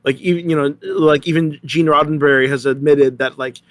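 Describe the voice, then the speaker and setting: A man hosting a thoughtful discussion, speaking haltingly and repeating words as he works through an idea. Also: on the high side, fairly even intonation, no echo. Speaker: host moderating a panel discussion.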